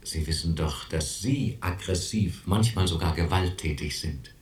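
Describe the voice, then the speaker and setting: A man's voice: low-pitched, unhurried. A man playing a patronizing, coldly authoritarian institution doctor in a German radio drama. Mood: stern, condescending.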